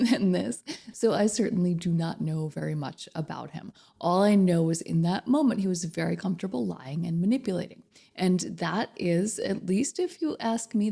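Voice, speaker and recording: A woman coach with a slightly hoarse voice from a cold, chatting directly to viewers about relationships and asking them questions. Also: fairly low pitch, warm tone. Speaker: narcissistic abuse recovery coach. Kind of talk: casual direct-to-camera advice talk.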